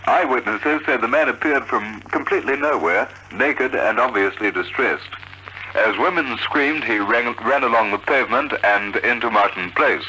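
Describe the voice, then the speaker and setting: A man's voice: mid-range voice, pushed and bright, a little hiss or hum, low-quality recording. A man reading a formal radio news bulletin on an old archive recording. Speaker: newsreader.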